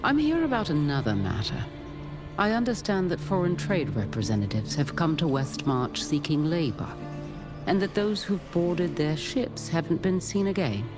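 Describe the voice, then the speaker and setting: An actress playing a composed, resolute adventurer hero in a video game, serious and measured. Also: female voice, sits low, sing-song. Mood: resolute, calm. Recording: loud music behind.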